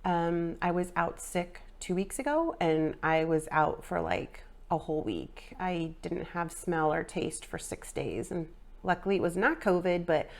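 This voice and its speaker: 40s woman vlogging, kinda low and gravelly, bit nasal from being sick, lots of um and like. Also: expressive, unhurried. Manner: rambling, filler-heavy, frequent ums and likes. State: a little nasal after a sinus infection. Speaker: fitness vlogger. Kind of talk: casual personal update chat.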